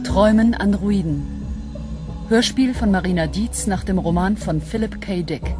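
A woman reading radio drama credits as an announcer, in a measured, clipped list delivery. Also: mid-range voice, music in the background.

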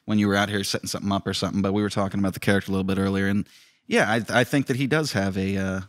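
Quick-talking man with a lowish voice. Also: fairly even intonation. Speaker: podcast co-host. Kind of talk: casual chat. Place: large indoor studio room. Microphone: boom-mounted studio mic.

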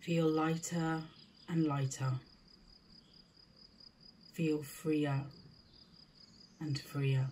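A woman leading a scripted guided meditation in a soothing, deliberate manner, with short spaced phrases. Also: low-pitched, level tone, dark tone, slight background noise.

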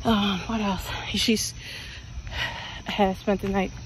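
A woman's voice: medium pitch, little pitch movement, slow pace, background noise. A middle-aged woman vlogging while walking outdoors at night, talking in a rambling, chatty way with lots of fillers. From Pennsylvania, US. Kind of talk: casual walking vlog. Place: outdoors on a suburban street at night. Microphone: earbud mic, phone held at arm's length while walking.

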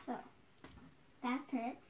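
A woman's voice: extremely slow, a little mellow, a little hiss or hum. A young girl chatting casually to the camera while showing a how-to in her small bedroom, talking to herself as she goes. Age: child, around 10 to 12. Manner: chatty, unscripted, self-talking while tying a headscarf. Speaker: vlogger. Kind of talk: casual home tutorial vlog. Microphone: phone or tablet camera set across the room.

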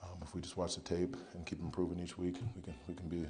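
Young male athlete, very low and flat, halting post-game podium answers. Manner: halting, many ums and you-knows, low-key. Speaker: football player. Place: press conference room, indoors. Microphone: podium mic in front.

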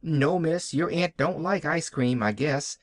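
A man's voice: a little high, a little slow, no room sound, studio quality, pitched above the performer's own voice, natural voice. A man playing a kindly, flustered hired girl with stammering, folksy country speech in a storybook. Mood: helpful.

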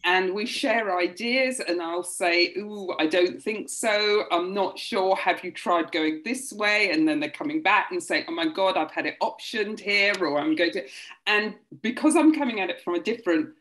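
A woman speaking as a producer on a roundtable video call, measured and collaborative. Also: on the low side, fairly bright tone. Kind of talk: roundtable discussion. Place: home room with bookshelves. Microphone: laptop webcam video call.

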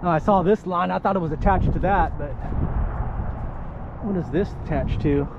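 A man's voice: high-pitched, moderate pace. A man filming himself on a kayak outdoors, chatting casually to himself and to the fish in short asides as he fishes. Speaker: kayak fishing vlogger. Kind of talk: casual narration while fishing. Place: on a kayak outdoors on shallow water. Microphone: action camera. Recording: dull action-camera audio with wind and water noise.

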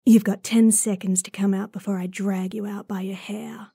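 A woman acts out an idealistic young werewolf with a quick temper for an audio drama. Her voice is raspy with plenty of fry, and she talks slowly, casual and blunt, in a dry close recording. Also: medium pitch, little pitch movement, mellow, dry recording. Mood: hostile. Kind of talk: audio roleplay drama acting.

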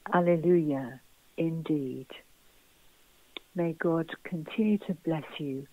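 A woman preaching a sermon in a measured, devotional manner. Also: low-pitched, no room sound. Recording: phone-like, muffled narrow-band sound.

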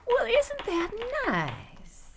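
A woman's voice: very high-pitched, wildly swooping pitch, extremely slow, vocal fry, very noisy, full character voice. A woman playing a genteel Southern hostess in an audio drama, folksy and evasive, sweet with menace underneath. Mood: sweetly gracious. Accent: American South.

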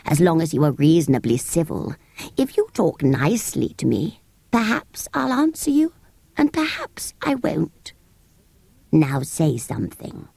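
A woman voicing a grumpy, haughty ancient furry sand fairy in a dry, husky, slow and pompous way for a storybook. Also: low-pitched, very animated, dark tone, no room sound, full character voice. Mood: grudging.

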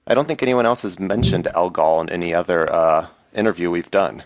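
A man's voice: deep voice, fairly quick, dry recording. A man co-hosting a tech podcast, asking curious questions in a chatty way. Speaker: podcast co-host. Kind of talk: casual chat.

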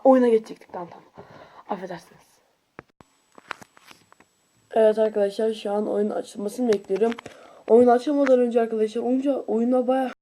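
Excitable whispery woman gamer, mellow. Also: a little hiss or hum. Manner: excitable, jumps between whispered asides and high squeals; playful, grumbles at the game. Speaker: gaming YouTuber. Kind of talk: casual let's-play commentary.

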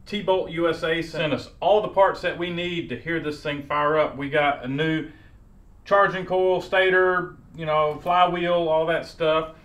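A man's voice: on the high side, steady tone, unhurried, fairly bright tone. Easygoing man talking in a garage. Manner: easygoing, talks while working with his hands. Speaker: mechanic host. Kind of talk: casual workshop chat. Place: garage workshop.

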